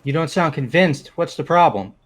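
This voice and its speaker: A man's voice: on the high side, animated, moderate pace, soft background music. A man voicing a casual, blunt, sarcastic young man in a fan-voiced game. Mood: questioning. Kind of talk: fan-voiced visual novel game acting.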